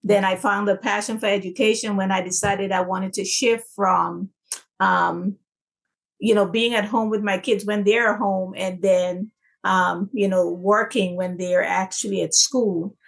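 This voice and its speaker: A woman's voice: medium pitch, fairly even intonation, measured pace, vocal fry. Chatty woman from Guyana. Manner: chatty, many ums and you-knows, laughs easily. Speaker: guest, legacy coach. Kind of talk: casual interview.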